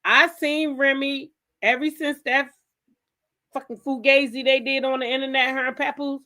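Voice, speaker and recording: A woman's voice: high-pitched, fairly even intonation, normal speed, sharp tone. An American woman hosting a gossip talk show, giving blunt, emphatic commentary in a casual style and repeating phrases for effect.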